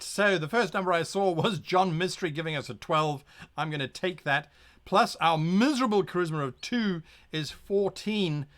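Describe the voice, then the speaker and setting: A man narrating a choose-your-own-adventure as game master on a live stream. His voice sits a bit high and swings widely, with a bright, gravelly edge. He breaks off into playful asides and pirate growls.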